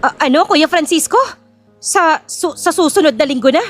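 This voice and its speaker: Woman acting high, flustered scheming aunt. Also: normal speed, soft background music, lightly acted. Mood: panicked. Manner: stammering, exclamatory. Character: flustered, scheming middle-aged sister-in-law, dramatic. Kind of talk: audio drama dialogue.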